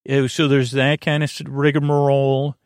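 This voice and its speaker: An American man hosting a sleep podcast in a rambling monologue, halting and often interrupting himself as he meanders along. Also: mid-range voice, completely flat delivery, clean tone, dry recording, clean studio sound. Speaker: podcast host.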